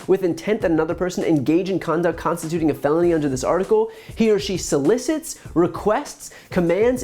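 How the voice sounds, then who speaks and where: A man's voice: sits high, darkish tone. A man in his thirties with an American accent, giving scripted political commentary to camera in an emphatic way with sarcastic asides, on a lapel mic. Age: 30s.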